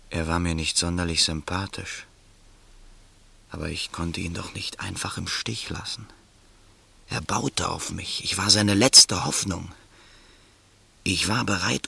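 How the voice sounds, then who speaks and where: A man's voice: sits low, gravelly, bright, forceful tone, breathy edge. A man narrating a radio drama in the first person, tense and brooding. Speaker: narrator and protagonist.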